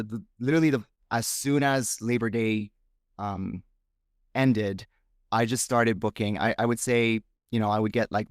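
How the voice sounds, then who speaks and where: A man's voice: lowish voice, no room sound, clean studio sound. A man from Romania, whose first language is Romanian, speaking as a podcast guest in a frank, reflective, casual interview chat. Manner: frank, reflective, thinks aloud.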